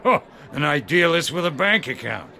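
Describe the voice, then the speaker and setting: Grumbly older rich guy in a game, bright gravelly voice, cynical and blunt, music behind. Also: on the high side, big pitch swings, normal speed, full character voice. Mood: cynical, grumbling. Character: cranky, cynical old-money rich man. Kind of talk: dramatic video game dialogue.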